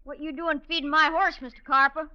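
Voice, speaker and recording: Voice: sits high, steady tone, fairly quick, pushed and bright. An actor playing a cocky, provoking boy outlaw in an old radio western drama. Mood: stubborn. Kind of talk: 1950s radio drama acting. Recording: muffled old radio recording, faint noise.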